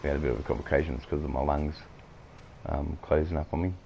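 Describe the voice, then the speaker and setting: A man with an Australian accent, croaky from coughing, rambling through farming-game commentary with many halting fillers. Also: rumbling low, fairly even intonation, a little mellow, muffled recording, a little hiss or hum. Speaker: gameplay streamer.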